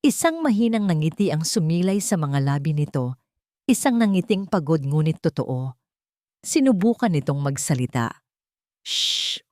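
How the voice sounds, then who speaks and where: A woman narrating a romantic audio drama in a steady, scripted storytelling voice. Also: sits low, no echo, studio quality.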